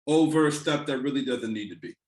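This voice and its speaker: A man's voice: medium pitch, lively intonation, a little fast, reverberant. A man from California, a reggae artist, talking earnestly in an interview and often repeating words as he gathers his thoughts. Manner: earnest, repeats words while searching for them. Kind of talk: casual interview.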